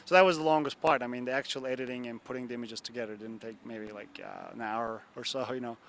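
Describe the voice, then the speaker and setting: A man's voice: medium pitch, steady tone, fast talker. Man from turkey, rambling answers, lots of fillers, faint room noise behind. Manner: rambling, many fillers and "you know what I'm saying". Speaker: photographer guest. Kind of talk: casual interview answers. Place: bar and grill.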